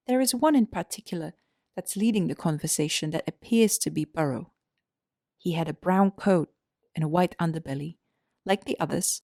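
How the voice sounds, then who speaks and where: A woman's voice: on the low side, gravelly, a little mellow, no echo, studio quality. A woman reading scripted storybook narration as the narrator of an audio drama.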